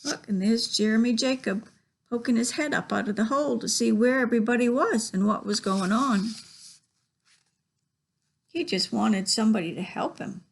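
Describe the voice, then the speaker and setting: A woman's voice: on the high side, normal speed, creaky. A woman reading a children's story expressively, with chatty asides to young listeners about the pictures. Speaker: library storytime reader. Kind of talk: picture-book read-aloud with chatty asides.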